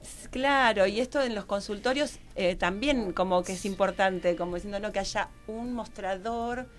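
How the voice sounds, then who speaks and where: A woman with an Argentine accent speaking as a guest in a radio interview, searching for words and repeating herself as she explains. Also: medium pitch. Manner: halting, repetitive, searching for words. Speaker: guest researcher on gender and health law.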